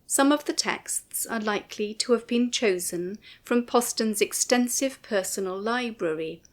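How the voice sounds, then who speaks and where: Slow, measured woman narrator. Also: fairly high pitch. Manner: measured, formal, precise. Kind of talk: scripted documentary commentary, read aloud.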